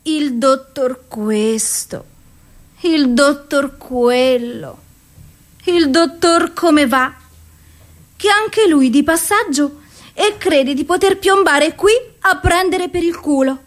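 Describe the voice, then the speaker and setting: An actress playing a despairing, suicidal and self-loathing woman in an anguished, deliberate theatrical radio monologue. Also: high-pitched. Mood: bitter despair. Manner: slow, deliberate, anguished delivery.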